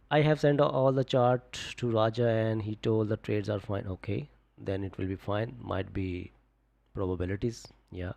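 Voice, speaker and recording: A man's voice: lowish voice, unhurried, creaky, muffled recording. A young man, talking casually on a live stream about trades and reading out viewer comments, full of "just, like" fillers. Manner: relaxed, repetitive fillers, coaching viewers. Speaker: forex trading streamer.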